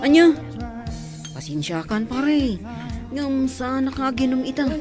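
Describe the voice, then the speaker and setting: A woman's voice: high voice, lively intonation, slow pace, over music. A woman with a northern Philippine accent voicing a bemused, questioning male drinking buddy in a village comedy drama. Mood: puzzled.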